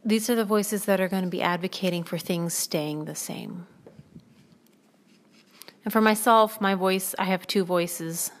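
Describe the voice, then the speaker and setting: A woman hosting her own podcast, talking in a soothing, reflective way and pausing often to gather her thoughts. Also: mid-range voice. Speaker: podcast host. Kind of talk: reflective spoken monologue.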